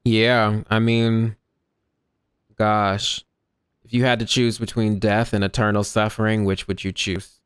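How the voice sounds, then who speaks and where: Very low-voiced woman, casual and dry. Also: flat delivery, measured pace, smooth, no echo. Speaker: gaming streamer. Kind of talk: casual playthrough commentary. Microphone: close dry microphone.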